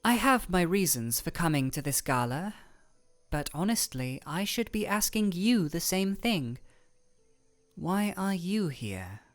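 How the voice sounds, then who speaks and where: A woman performs a cool, reserved ice royal with a low voice. The speech is formal and measured, with a deadpan, regal detachment, in the style of a fantasy ASMR roleplay. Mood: cool, matter-of-fact.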